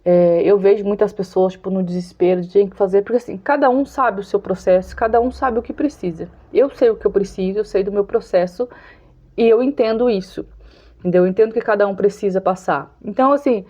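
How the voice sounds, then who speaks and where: A woman's voice: medium pitch, brisk, warm tone, lo-fi sound. A Brazilian woman in her forties talks casually and openly to her viewers, chatty and confiding, often laughing. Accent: Brazilian Portuguese. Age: 40s. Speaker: vlogger. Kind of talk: casual talk to camera.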